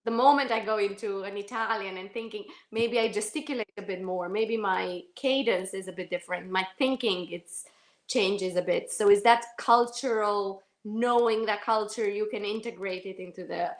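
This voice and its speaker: A woman's voice: mid-range voice, moderate pace. A woman from Romania, an actress answering interview questions in a thoughtful, hesitant way with frequent restarts. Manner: thoughtful, self-correcting, many fillers and restarts. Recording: echoey room.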